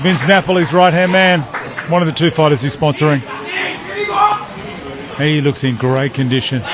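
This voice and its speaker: High-voiced male fight commentator amid crowd noise. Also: steady tone, normal speed. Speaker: event commentator. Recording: live mic amid very loud crowd. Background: loud crowd.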